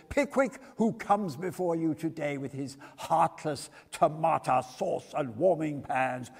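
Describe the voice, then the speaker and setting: A man acts out a pompous prosecuting barrister orating to a jury. His higher-pitched voice swings widely with theatrical emphasis, ringing through a reverberant church. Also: creaky, breathy edge, heavily acted. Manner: theatrical, emphatic, grandiose oratory.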